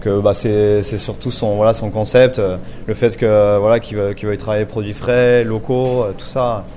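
A man's voice: fairly low pitch, steady tone, moderate pace, mellow, a little hiss or hum. A man in his twenties, a chef from Paris, answering interview questions with many fillers and restarts. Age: 20s.

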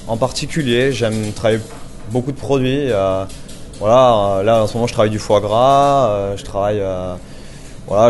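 A man's voice: lowish voice, darkish tone, slight background noise. A man in his twenties, a chef from Paris, answering interview questions with many fillers and restarts. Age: 20s.

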